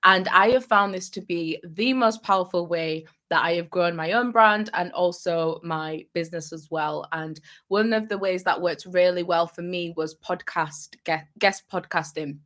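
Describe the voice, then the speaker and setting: A woman's voice: on the low side, normal speed, hardly any pauses, fairly bright tone. A young adult woman, a business coach, giving upbeat, encouraging advice on a live vlog and repeating phrases for emphasis.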